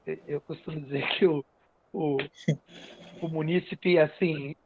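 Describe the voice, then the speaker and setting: Man, São Paulo coast accent, podcast guest, slow halting delivery, repeated phrases, casual storytelling, thin telephone-like sound. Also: a little high, steady tone, a little hiss or hum. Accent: Brazilian, São Paulo coast. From Cubatão, São Paulo state, Brazil. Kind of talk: casual personal storytelling in an interview. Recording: narrow phone-like online call sound.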